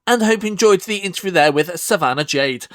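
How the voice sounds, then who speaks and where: Upbeat male host, high and dry. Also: animated, a little fast, fairly bright tone, dry recording. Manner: upbeat promotional delivery. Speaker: podcast host. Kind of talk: scripted link and outro.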